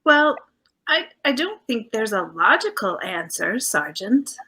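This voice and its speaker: A woman's voice: fairly high pitch, sing-song, measured pace, sharp tone, full character voice. A woman playing an affectionate, playful detective's wife in 1940s radio drama style, with coy banter. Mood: coy, composed.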